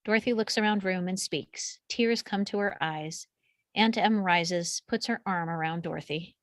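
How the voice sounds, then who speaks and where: A woman's voice: on the low side, moderate pace, no room sound, studio quality. A woman reading out screenplay stage directions as scripted narration.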